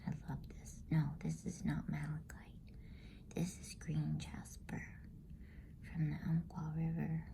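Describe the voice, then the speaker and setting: A woman whispering softly and breathily in a gentle, unhurried ASMR bedtime style. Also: sits low, monotone, vocal fry, background noise. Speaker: host and storybook reader.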